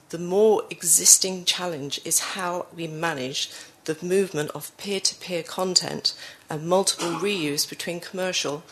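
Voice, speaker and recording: Woman with a croaky cold, low gravelly voice. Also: a bit bright. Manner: frequent fillers and self-corrections. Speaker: conference speaker. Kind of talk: conference presentation.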